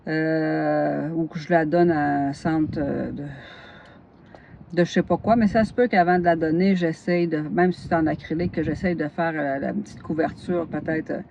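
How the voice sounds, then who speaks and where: A woman's voice: low voice, normal speed, creaky, a little mellow. Québécois woman vlogging about knitting, tired and giggly, hops topic to topic, dull lo-fi sound with faint hiss. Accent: Québécois French. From northern Gaspésie, Quebec. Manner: chatty, laughs often, jumps between topics. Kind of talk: casual chat.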